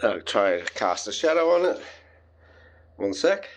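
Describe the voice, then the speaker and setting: A man's voice: on the low side, big pitch swings, measured pace, slight background noise. A man presenting a casual, unscripted hands-on tutorial in a chatty, informal and enthusiastic way. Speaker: hobbyist presenter demonstrating homemade electronics.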